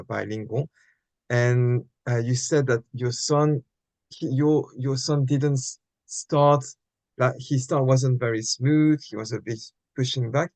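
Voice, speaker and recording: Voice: medium pitch, darkish tone, no room sound. An interview host asking casual, rambling questions that restart and loop back.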